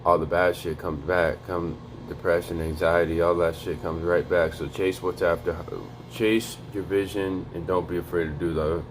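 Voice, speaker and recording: Young male vlogger, deep and flat, quiet casual street talk, slight background hum. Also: normal speed, few pauses. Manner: low, quiet monotone to avoid waking a neighbor. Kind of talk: motivational vlog talk. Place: home in North Dakota.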